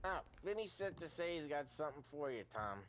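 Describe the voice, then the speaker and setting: An actor playing a wisecracking mob crew member who passes on news in an offhand way. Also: male voice, fairly high pitch, animated, a little fast, fairly bright tone. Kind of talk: video game cutscene acting.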